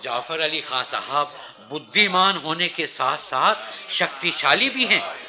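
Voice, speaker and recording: An actor playing a flattering court conspirator in a Hindi historical radio play, deliberate and ingratiating. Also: male voice, a little high, exaggerated voice.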